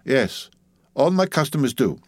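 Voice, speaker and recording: Man acting a brusque, mercenary fat midwife, pitched up. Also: sing-song, a little slow, no echo, studio quality. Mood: shrewd, demanding. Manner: blunt, haggling, broken English. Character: hard-bargaining, enormously fat Dutch midwife woman, brusque and mercenary.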